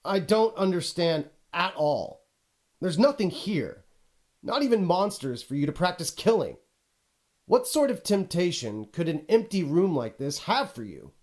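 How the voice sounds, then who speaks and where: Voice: mid-range voice, sing-song, a little slow, darkish tone. An actor playing a pompous, exasperated video game narrator who scolds the player in a dramatic, haughty, petulant way. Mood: exasperated scolding. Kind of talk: theatrical scripted game narration.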